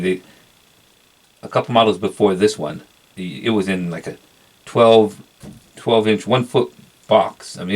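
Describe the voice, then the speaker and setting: Low-pitched, monotone man reviewing gear. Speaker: product reviewer. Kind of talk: casual unboxing review.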